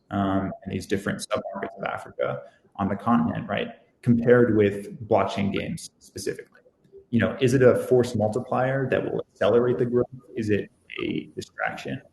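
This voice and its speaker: A man with a lowish, gravelly voice moderates a conference panel, restarting words as he works toward his questions. The sound is roomy and echoey, with faint noise underneath. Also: darkish tone. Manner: hesitant, repeating words as he frames questions.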